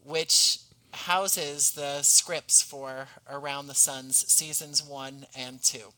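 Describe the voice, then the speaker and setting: A man with a bright, sharp-edged voice talks casually as an interview guest. He sits at a middle pitch and comes across as sincere, gently poking fun at himself. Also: fairly even intonation, slow pace, poor audio. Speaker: podcast guest, playwright.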